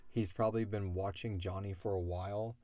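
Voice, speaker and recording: A man co-hosting a casual question-and-answer chat, speaking in an animated way and swearing freely. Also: fairly low pitch, brisk, dry recording. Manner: animated, swearing, acts out imagined dialogue. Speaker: co-host of an audio drama Q&A show.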